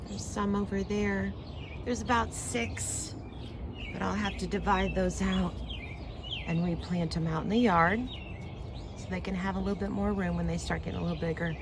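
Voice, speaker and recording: A woman's voice: mid-range voice, steady tone, normal speed, background noise. A middle-aged woman chatting casually as a co-host and vlogger. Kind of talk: casual chat.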